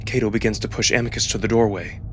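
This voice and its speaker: A man giving a suspenseful, expressive first-person narration of a dramatic story. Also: on the low side, quick pace, slight background noise. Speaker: narrator and voice performer. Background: background music.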